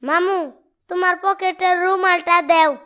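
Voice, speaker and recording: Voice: very high-pitched, little pitch movement, measured pace, bright, forceful tone, heavily acted. A performer voicing a cheeky, stubborn little cartoon boy, bold and argumentative, with a Bangladeshi accent. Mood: insistent.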